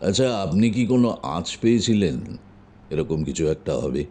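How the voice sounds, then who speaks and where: An actor playing a gruff, commanding middle-aged detective in an audio drama, speaking deliberately with pauses and emphatic repeats. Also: male voice, deep voice, big pitch swings, warm tone, slight background noise. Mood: probing, cautioning.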